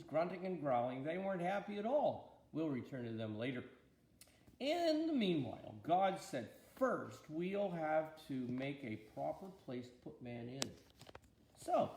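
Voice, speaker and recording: An older man reading a children's storybook aloud in an expressive, grandfatherly way, with deliberate pauses and chatty asides. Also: a little high, vocal fry. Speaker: bishop reading Bible stories to his granddaughter. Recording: echoey room.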